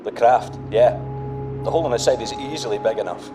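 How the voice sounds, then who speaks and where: A man's voice: medium pitch, fairly quick, natural voice. A man voicing an awestruck, wisecracking male explorer in an audio drama, over a music bed. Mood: awed. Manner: breathless wonder, irreverent jokes. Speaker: submarine diver McGovern.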